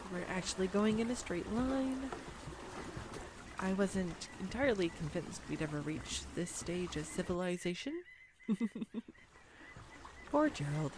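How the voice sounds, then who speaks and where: A woman's voice: medium pitch, noisy background, lightly acted. A woman playing a clumsy, sweetly self-deprecating young woman on a date, in an intimate, earnest romantic audio roleplay. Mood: excited, amused.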